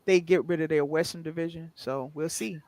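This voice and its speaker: A man's voice: on the high side, steady tone, a little fast, darkish tone, no echo. A man joining a podcast as a guest, talking casually in a reassuring, explanatory way. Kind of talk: casual chat.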